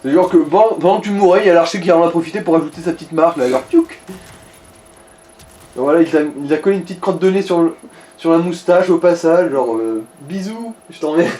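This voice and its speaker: Higher-voiced man chatting in a roomy echo. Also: fast talker, mellow, slight background noise. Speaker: gaming companion. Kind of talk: casual gaming chat. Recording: roomy echo, faint music behind.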